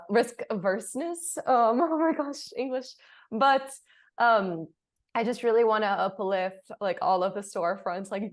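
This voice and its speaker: A woman on a theatre panel, speaking casually in a heartfelt, uplifting way. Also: on the low side.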